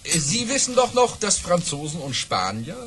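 An actor playing a boastful baron who tells tall tales with theatrical relish in a German audio drama. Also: male voice, fairly high pitch, very animated, moderate pace, over loud music. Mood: animated, proud.

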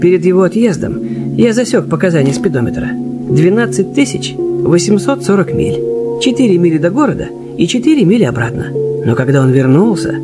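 Shrewd, higher-pitched Chinese manservant. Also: male voice, sing-song, brisk. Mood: calm, knowing. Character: Charlie, shrewd polite Chinese man working as servant. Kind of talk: radio drama acting with narration. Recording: radio play with music behind.

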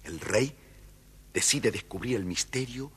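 A man's voice: lowish voice, expressive, measured pace, breathy edge. A man reading a scripted children's story as its narrator.

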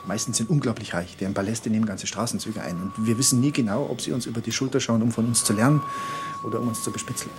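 An actor playing a formal, didactic rocket engineer in a naturalistic radio drama. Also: male voice, mid-range voice, fast talker, close to an everyday voice. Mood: confiding. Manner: didactic, formal, technical explaining. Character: brisk male German rocket engineer showing a new secretary around. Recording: noisy background of factory and corridors.

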